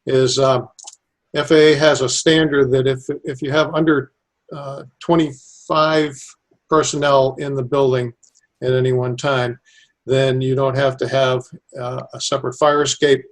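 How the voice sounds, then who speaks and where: Male interviewee, engineer type. Flat intonation, mid-pitched, explaining things informally and methodically. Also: measured pace. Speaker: civil engineer, airport designer being interviewed.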